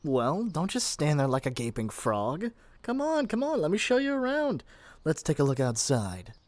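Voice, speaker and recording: A man's voice: on the high side, huge pitch swings, normal speed, a little mellow, a little hiss or hum. A man playing a lovesick young witch shopkeeper in a scripted ASMR roleplay, theatrical and coaxing in a playful way. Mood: smug, affectionate. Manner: theatrical, coaxing, playful, stammers when pleading.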